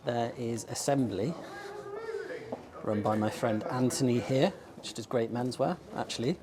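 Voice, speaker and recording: A man's voice: medium pitch, unhurried, a crowd in the background. A man from England, slightly losing his voice, chatting enthusiastically as he films himself walking up a sunny outdoor shopping street. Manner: chatty and enthusiastic, with frequent ums. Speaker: bookshop owner and vlogger. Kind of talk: casual walking tour vlog. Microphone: handheld camera filming himself while walking. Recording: faint outdoor street ambience.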